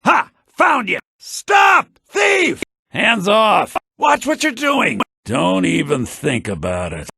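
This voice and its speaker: A sly, grasping merchant from a fantasy game, with a gravelly, husky male voice pitched high. His delivery is theatrical and swings widely in pitch. The audio is a compressed, low-bitrate game rip. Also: wildly swooping pitch, slow, few pauses, pushed and bright, dry recording, raised voice, studio quality, heavily acted. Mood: alarmed, angry. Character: sly fantasy male shopkeeper, grasping, quick to yell at thieves. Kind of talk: video game character barks.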